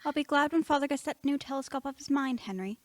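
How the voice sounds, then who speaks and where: A woman's voice: high-pitched, fast, dry recording, natural voice. A teenage girl playing a playful, affectionate young woman, a scientist's daughter, in an amateur radio play.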